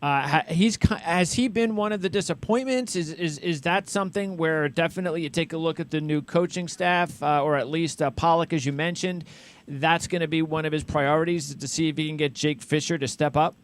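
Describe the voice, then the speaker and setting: A man hosting a casual sports interview, asking questions in a halting way and often rephrasing mid-sentence. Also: a little high. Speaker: interviewer, sports show host.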